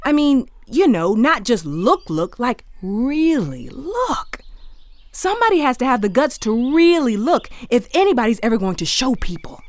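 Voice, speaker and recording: A woman playing an impulsive, wistful young Civil War widow in an audio drama, speaking in halting, searching, emphatic bursts. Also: on the high side, big pitch swings. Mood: earnest, wistful. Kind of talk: naturalistic audio drama dialogue.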